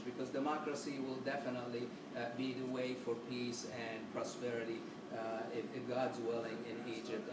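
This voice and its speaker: A man hosting a community meeting, speaking in a halting, formal way full of uhs. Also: medium pitch. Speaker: event host. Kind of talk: welcoming remarks at a community meeting. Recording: echoey room, distant mic, loud ambient noise.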